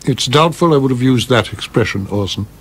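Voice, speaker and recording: A man playing a courteous, fussy theatrical producer in a radio play, formal, measured and quietly disapproving. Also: mid-range voice, animated, husky. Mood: dry, reproving.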